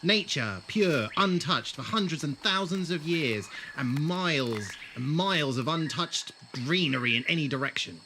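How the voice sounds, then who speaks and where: Man acting eccentric time traveller, very lively intonation, theatrical, wordy, teasing, audio drama, faint background noise. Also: fairly high pitch, moderate pace, few pauses. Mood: enthusiastic, grand.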